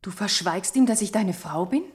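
A woman's voice: medium pitch, lively intonation, normal speed, darkish tone, close to an everyday voice. A young woman playing a scientist's probing, wary wife in a German radio drama, questioning and pointed. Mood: sceptical, probing. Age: young adult. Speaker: radio play actress.

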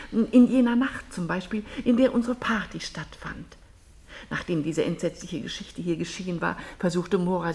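A woman's voice: medium pitch, creaky, darkish tone. An actress playing a middle-aged, protective and prying mother in a German radio play, with a theatrical, pointed delivery. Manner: theatrical, pointed, insistently questioning.

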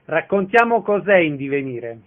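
A man's voice: a little high, very animated, normal speed. A man presenting and asking questions as a radio interviewer, with a phone-like sound.

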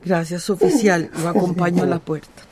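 A woman playing a stoic, folk-wise old village grandmother with a Central American accent in a radio drama, speaking slowly and solemnly. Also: fairly low pitch, over a noisy crowd, natural voice. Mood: consoling. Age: older.

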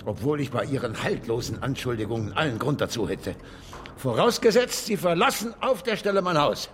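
An actor in a German radio drama playing an elderly, proud British author and fervent spiritualist, theatrical and halting when agitated. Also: male voice, mid-range voice, sing-song, a bit bright, slight background noise. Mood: menacing, scornful.

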